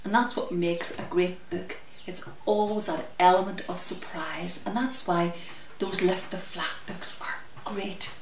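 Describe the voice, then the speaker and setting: A middle-aged woman hosting story time for young children, speaking expressively in a sing-song way with drawn-out words. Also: on the low side, a little mellow. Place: glass-walled conservatory at home. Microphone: camera mic a little way off. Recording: roomy echo, distant mic, faint noise.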